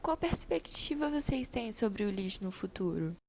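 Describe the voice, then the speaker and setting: A woman's voice: medium pitch, very animated, moderate pace, vocal fry. A woman with a Brazilian accent playing an encouraging schoolteacher who addresses her class informally in an amateur radio play. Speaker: teacher leading a class discussion.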